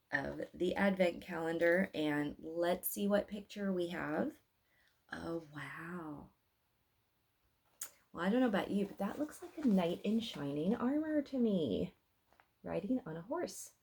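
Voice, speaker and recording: Female storybook reader for a children's read-aloud, very animated intonation, expressive, talks directly to the audience. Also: lowish voice, takes it slowly, vocal fry. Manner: addresses young listeners directly with questions.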